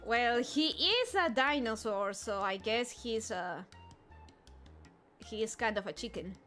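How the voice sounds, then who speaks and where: A woman's voice: a little high, expressive, bright, forceful tone, soft background music. A young woman streamer reading through a game story aloud, with playful, joking asides. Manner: playful, jokey, quick asides. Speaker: VTuber streamer. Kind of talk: casual game readalong commentary.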